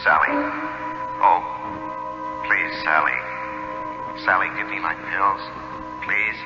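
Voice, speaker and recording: Reverberant, pleading inner voice. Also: male voice, low-pitched. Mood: desperate, pleading. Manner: pleading, slow. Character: husband's ghostly inner voice, begging for his life. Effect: heavy reverb. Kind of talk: 1970s radio drama acting. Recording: echoing, dull radio recording, music behind.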